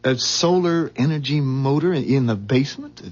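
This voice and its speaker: An actor playing a skeptical, curious everyday neighbor in a 1970s radio drama, speaking in a colloquial, incredulous, halting way. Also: male voice, mid-range voice, a little mellow, lightly acted. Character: skeptical, easily amazed everyday man, friendly curious neighbor. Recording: dull, muffled 1970s radio broadcast recording.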